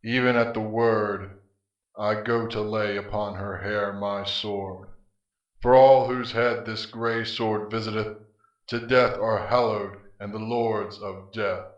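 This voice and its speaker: A grim, scornful spirit of Death acted by a man declaims archaic verse with theatrical defiance. The voice is low and very slow, caught at a distance in a strongly echoing room. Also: steady tone. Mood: menacing. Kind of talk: verse stage drama, declamatory. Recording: muffled, echoey, distant from mic.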